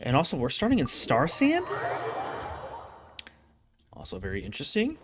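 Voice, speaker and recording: A man's voice: a little high, wildly swooping pitch, moderate pace, background noise. A man giving casual, rambling game commentary, thinking aloud as he streams.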